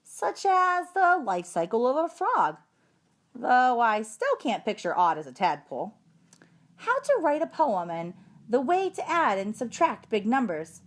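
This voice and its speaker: A woman voicing a small, eager, chatty male classroom hamster telling his story with earnest excitement. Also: fairly high pitch, measured pace, pitched above the performer's own voice, full character voice.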